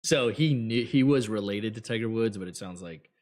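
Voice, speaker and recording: A man hosting a trading show, giving blunt, rambling advice to viewers with many fillers, his voice getting hoarse. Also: lowish voice, normal speed, darkish tone. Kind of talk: casual advice talk to viewers.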